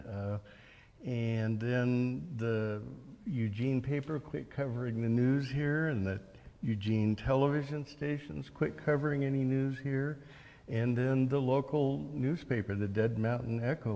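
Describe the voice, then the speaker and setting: An older man from Oregon with an American accent, answering as a guest in a live interview in a wry, halting way. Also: medium pitch, a little mellow. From Oregon, USA. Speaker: guest, newspaper editor. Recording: hall reverb.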